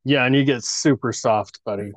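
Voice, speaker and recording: A man's voice: mid-range voice, moderate pace, no echo. A man in his thirties co-hosting a casual podcast, joking crudely and laughing often. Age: 30s. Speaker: podcast co-host.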